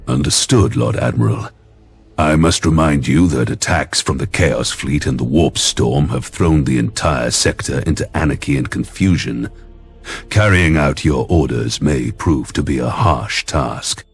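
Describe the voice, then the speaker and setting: A dour military commander in a game cutscene. His voice is rock-bottom low, husky and gravelly, with formal, weighty caution. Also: unhurried, faint music behind, heavily acted. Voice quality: raspy, gravelly. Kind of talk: video game cutscene acting.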